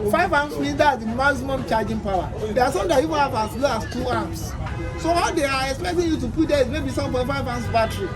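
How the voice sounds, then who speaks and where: A man's voice: extremely high pitch, low-quality recording. A man with a Nigerian accent teaching a technical class, explaining emphatically with worked examples and repetition. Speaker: instructor. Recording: loud street noise, voices and music behind.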